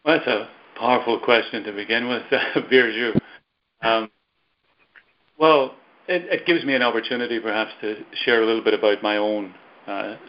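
A man's voice: on the low side, slight background noise. An older man with a Belfast Irish accent, a guest telling his life story thoughtfully and unhurriedly over a video call. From Northern Ireland. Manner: thoughtful, unhurried, with soft laughs. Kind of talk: reflective storytelling. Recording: dull lo-fi call audio.

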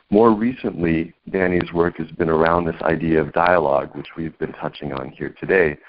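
A man hosting an interview over a video call, speaking in a measured, reflective way. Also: low-pitched, slight background noise. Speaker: host. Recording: dull lo-fi call audio.

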